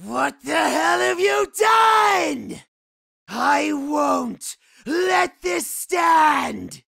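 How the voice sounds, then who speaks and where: A man's voice: very high-pitched, lively intonation, vocal fry, dry recording, clean studio sound, heavily acted. A man voicing a furious, menacing devil, seething and drawing out his words. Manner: seething, drawn-out shouting.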